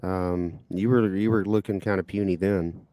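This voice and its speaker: Low, mellow, dry-voiced man. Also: steady tone, normal speed, no room sound. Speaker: co-host. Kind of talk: casual livestream chat.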